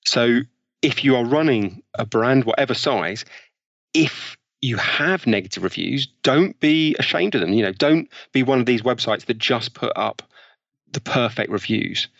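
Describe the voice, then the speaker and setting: Gravelly man explaining marketing studies. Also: mid-range voice, lively intonation, unhurried, fairly bright tone, dry recording, dull audio. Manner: explanatory, cites studies, frequent "you know". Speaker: author and guest. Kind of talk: podcast conversation.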